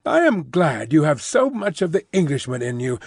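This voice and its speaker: A man's voice: fairly high pitch, very animated, moderate pace, creaky, slightly rough, no echo, clean studio sound, full character voice. A man voicing a fussy, fiercely loyal Englishwoman housekeeper who talks emphatically and primly. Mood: emphatic. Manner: emphatic, bustling, prim.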